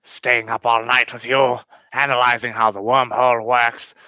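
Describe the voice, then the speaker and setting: An actor playing a proud fanged alien scientist in a comedy radio play, speaking in formal, deliberate phrases. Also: male voice, medium pitch, little pitch movement, sharp tone, no room sound, close to an everyday voice, poor audio. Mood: exhilarated.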